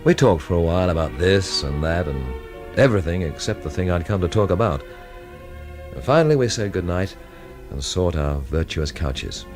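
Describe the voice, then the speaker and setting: Tough sardonic investigator, radio mystery drama, animated low-pitched delivery, blunt, faint music behind. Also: male voice, normal speed. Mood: brooding, measured. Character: tough wry London friend investigating a mystery, man. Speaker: narrator and lead actor.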